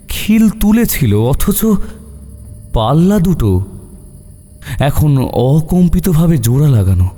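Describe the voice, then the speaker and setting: Male horror storyteller, dark mellow tone, dramatic delivery, reverberant sound with faint music underneath. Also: on the high side. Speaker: narrator.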